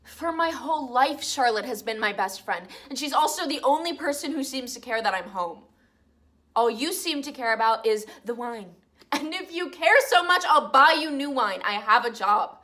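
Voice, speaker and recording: A woman's voice: sits high, very animated, a little slow, bright, forceful tone, full character voice. A young actress performing an emotional monologue as a hurt young woman lashing out at the people she lives with. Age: young adult. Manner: emotional, accusing, pleading. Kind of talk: dramatic acting monologue.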